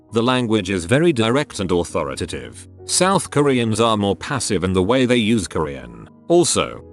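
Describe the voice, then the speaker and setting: Low-voiced man reading online posts aloud. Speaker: narrator. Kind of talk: scripted narration of online posts. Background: faint background music.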